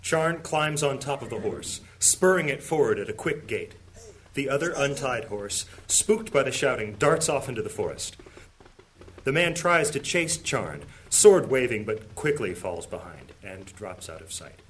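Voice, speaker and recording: A man's voice: mid-range voice, very animated, a little slow, fairly bright tone, slight background noise. A man performing grave, theatrical narration as the storyteller of a fantasy audio drama. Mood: suspenseful. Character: solemn male fantasy storyteller. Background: music.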